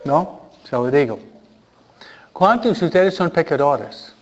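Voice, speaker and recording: Slow, halting priest preaching. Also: male voice, fairly high pitch, expressive, muffled recording. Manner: unhurried, hesitant, frequent rhetorical questions. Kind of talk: conversational sermon with humor.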